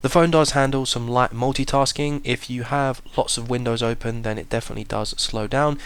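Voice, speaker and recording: A man narrating a tech review in a plain, measured, explanatory way. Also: medium pitch, fairly even intonation, a bit bright, clean tone. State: slight cold. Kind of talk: voice-over product review.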